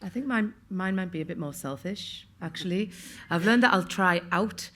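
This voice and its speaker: A woman's voice: fairly low pitch, moderate pace, a bit bright. A woman with a North East English accent giving a candid answer at a public Q&A. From north-east England. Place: on stage at a cinema Q&A. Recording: faint audience in the room.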